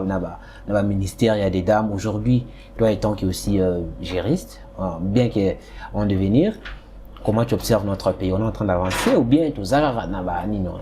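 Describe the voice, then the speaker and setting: A man's voice: on the low side, mellow, slight background noise. A young man in his twenties with a Congolese French accent, hosting an interview in a chatty way and slipping in Lingala words. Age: 20s. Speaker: host. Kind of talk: casual interview questions.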